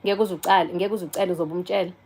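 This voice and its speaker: A woman's voice: lowish voice, steady tone, very fast, darkish tone, husky. Chatty South African woman in her forties. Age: 40s. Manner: chatty, answers viewers and phone calls, switches between English and Zulu. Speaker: live streamer. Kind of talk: casual live-stream chat.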